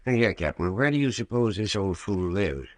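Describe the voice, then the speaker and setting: Guy playing old radio drama country doc, low voice, folksy, cautious, kinda grumbly. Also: lively intonation, normal speed, dry recording, natural voice. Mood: uneasy, grumbling. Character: cautious, grumbling folksy small-town doctor, uneasy sidekick.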